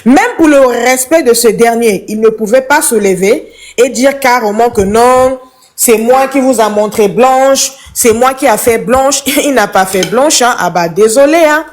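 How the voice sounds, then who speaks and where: A woman's voice: on the high side, unhurried. Emphatic woman. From Congo. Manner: emphatic, preachy, repeats points. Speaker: caller in a live chat. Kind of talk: impassioned opinion monologue.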